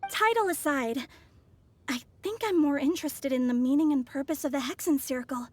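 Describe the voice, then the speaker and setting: A woman voicing an earnest, composed young scholar in a video game, speaking politely and with measured sincerity. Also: high voice, close to an everyday voice.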